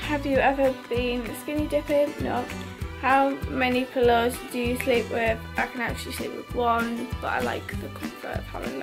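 Sleepy 18-year-old woman, gravelly voice. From North East England. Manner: sleepy, low-key, short flat answers. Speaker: vlogger. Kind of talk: casual Q&A vlog. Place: bedroom, lying in bed.

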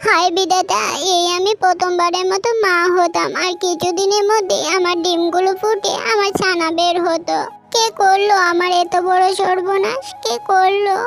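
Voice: extremely high pitch, little pitch movement, moderate pace. A performer voicing a small, tender, anxious mother duck, speaking emotively to herself in a children's cartoon story. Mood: distraught. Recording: children's music behind the voice.

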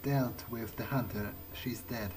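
A man's voice: medium pitch, fairly even intonation, measured pace, darkish tone, soft background music. A man doing in-character game commentary, musing aloud in a hesitant way. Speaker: gamer roleplaying a character.

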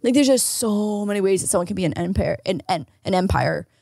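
A woman's voice: medium pitch, fairly quick, gravelly, no room sound, clean studio sound. Giggly young woman co-hosting a podcast. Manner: giggly, self-mocking, lively. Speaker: podcast co-host. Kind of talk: casual chat. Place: small studio set with a couch. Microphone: studio mic on a boom arm.

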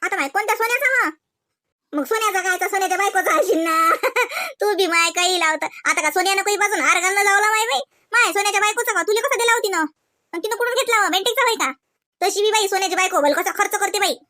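A woman voicing gossipy, excitable village housewives in a comedy cartoon, exclaiming and teasing in rustic Varhadi Marathi. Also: very high voice, fast. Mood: curious, teasing. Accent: Varhadi Marathi from Vidarbha. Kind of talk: colloquial comic cartoon dialogue.

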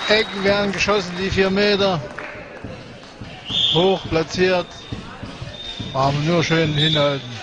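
A man with a regional German dialect giving relaxed, chatty live commentary on a match, with many fillers and short exclamations. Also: high-pitched, a little slow, long pauses. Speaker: sports commentator. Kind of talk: casual live commentary on a cycle-ball match. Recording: noisy sports hall with crowd ambience.

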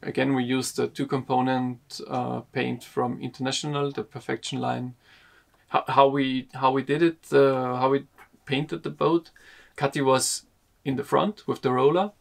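A young man, a sailing vlogger, explaining a boat project to camera in a slow, halting way, repeating words, seated in a wooden boat cabin. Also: medium pitch, little pitch movement, vocal fry.

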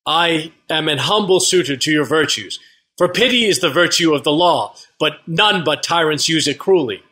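A man's voice: a little high, expressive, moderate pace, sharp tone. A man playing an earnest Athenian petitioner in a Shakespeare play reading, speaking formal rhetorical verse. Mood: earnest pleading. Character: earnest, pleading male Athenian captain or petitioner.

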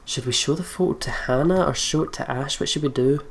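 A man's voice: fairly high pitch, fairly quick, creaky, a little hiss or hum. A man, reading a game's text aloud as narration with some casual commentary. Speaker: let's player reading a visual novel aloud. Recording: music behind the voice. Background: game music.

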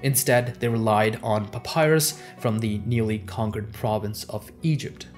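A man's voice: lowish voice, steady tone, moderate pace. Young man narrating history over music. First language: Urdu. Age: young adult. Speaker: history channel host. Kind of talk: scripted educational narration. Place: small home office, indoors. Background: background music.

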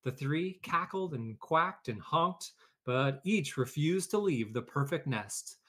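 A man's voice: on the high side, animated, slow pace, fairly bright tone. A man hosting a read-aloud for children, talking informally and explaining things, or reading a storybook. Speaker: educator, read-aloud host.

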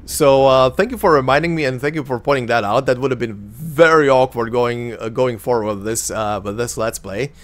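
A man's voice: mid-range voice, a little fast, few pauses. A man in his thirties whose first language is Croatian, chatting casually and rambling as he hosts a let's play. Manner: chatty, rambling, self-correcting with many fillers. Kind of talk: casual gameplay commentary. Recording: faint game music behind.

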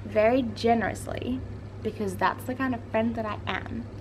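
A young woman with a fairly high voice, goofy and theatrical, hamming up her lines while chatting with a friend. She talks close to a handheld camera in a quiet library at night, with clear background hum around her. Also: steady tone, normal speed. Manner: silly, theatrical, dramatic outbursts. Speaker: vlogger. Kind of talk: casual chat vlog.

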